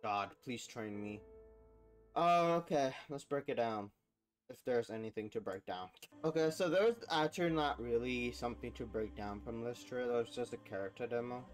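A man's voice: medium pitch, normal speed. Casual young man reacting, music behind. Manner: informal, slangy, enthusiastic. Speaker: gaming reaction video host.

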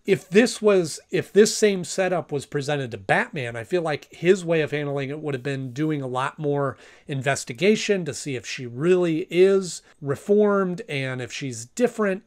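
A man in his thirties giving a casual review to camera as host of a video. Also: a little high. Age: 30s. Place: small home room, indoors.

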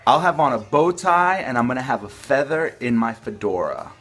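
A young man making a prank phone call from a room at home, asking questions in a deadpan, mock-earnest way. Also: mid-range voice, moderate pace, soft background music. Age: young adult. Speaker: prank caller, video host. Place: small furnished room at home, indoors.

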